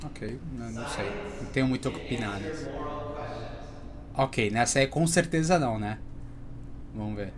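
A man's voice: mid-range voice, a little fast, long pauses, slight background noise. A man hosting a reaction video, chatting casually and giving his opinions in a slangy way at his webcam. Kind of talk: casual commentary.

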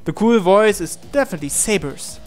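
A man's voice: sits high, lively intonation, fairly quick, faint music behind. A man reading a visual novel aloud as dramatic first-person narration, with an earnest, intense and theatrical delivery. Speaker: let's-play reader of a visual novel.

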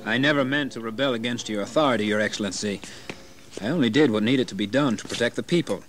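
An actor playing a young colonial rebel leader in an audio history drama, impassioned and declamatory. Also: male voice, mid-range voice, fast, lightly acted. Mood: contrite.

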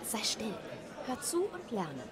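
A rather high, lively young female voice for a fantasy game. She is self-possessed and direct, speaking naturally. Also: takes it slowly, exaggerated voice. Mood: composed. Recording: loud music and crowd behind.

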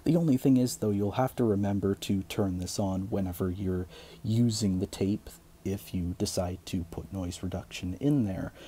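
A man hosting a casual how-to tutorial, explaining things in a measured way. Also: deep voice, mellow, a little hiss or hum. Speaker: tutorial host.

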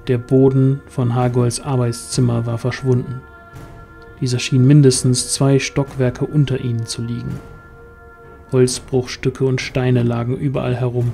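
A man's voice: medium pitch, gravelly, mellow. A man narrating a scripted fantasy audio drama as its storyteller.

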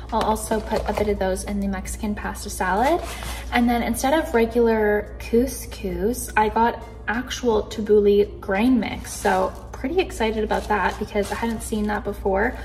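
A young woman from Canada chatting casually in her kitchen, bubbly and easily excited, filmed on a phone camera. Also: medium pitch, poor audio. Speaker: vlogger. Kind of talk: casual grocery haul chat. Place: kitchen indoors.

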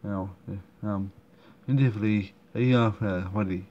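A man commenting on a game he is playing, halting and full of fillers and restarts, recorded at home. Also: lowish voice, fairly even intonation. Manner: hesitant, many fillers and restarts. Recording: dull muffled recording, faint room noise.

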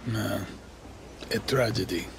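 An actor playing a cynical, swaggering guerrilla veteran in a video game, telling tales in a wry, boastful way against noisy ambience. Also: male voice, on the low side, slow, creaky. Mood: smooth, sly. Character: cynical, swaggering old-hand guerrilla spymaster. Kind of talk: cinematic video game acting.

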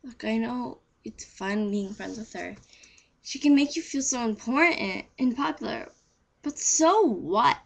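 Girl acting a blunt, high-voiced teen. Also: expressive, a little slow, vocal fry, full character voice. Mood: earnest. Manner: frank, earnest. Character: blunt, disapproving teenage girl confronting a friend.

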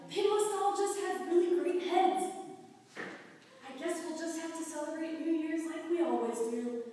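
Woman as polite puppet hat seller, kindly, quaint phrasing, very swooping intonation, distant, reverberant hall. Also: sits high. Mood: wistful, content. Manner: gentle, chuckling, quaintly formal. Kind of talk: live puppet show dialogue. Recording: echoey hall, far from the mic.

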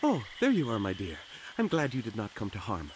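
A man's voice: fairly low pitch, huge pitch swings, brisk, background noise. A man playing a doting, foolish, fawning husband character in a video game. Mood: sheepish.

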